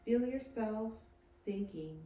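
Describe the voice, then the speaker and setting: A woman narrating a guided meditation in a soothing, deliberate way, with phrases that trail off softly at the end. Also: medium pitch, animated. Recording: roomy echo, music behind the voice. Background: meditation music.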